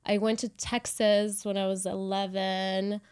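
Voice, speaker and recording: A woman hairdresser shares her background, her voice notably smooth and resonant. She sits at an ordinary pitch and talks in a loose, giggly way, dropping "like" into her sentences often. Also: level tone, measured pace, a little mellow. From Ukraine. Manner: giggly, easygoing, uses "like" a lot. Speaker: hairdresser guest. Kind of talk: casual interview storytelling.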